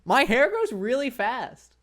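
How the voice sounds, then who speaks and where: A young man whose voice sits light and high, talking casually to his viewers over a desk mic. He jokes at his own expense and laughs easily between comments. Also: very animated, measured pace, a bit bright. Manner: playful, self-mocking, laughs often. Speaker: streamer. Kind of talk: casual chat reading viewer messages while gaming.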